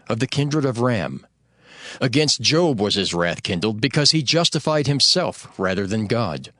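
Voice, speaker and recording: A man narrates scripture in a measured, solemn way. His pitch varies widely across phrases. The recording is dry and close. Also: fairly bright tone, dry recording.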